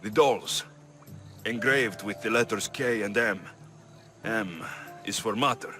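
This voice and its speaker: An actor playing a rugged, confident mercenary in a video game, blunt and wry. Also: male voice, fairly low pitch, slow, bright, forceful tone, soft background music. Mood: intent, serious. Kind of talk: video game cutscene acting.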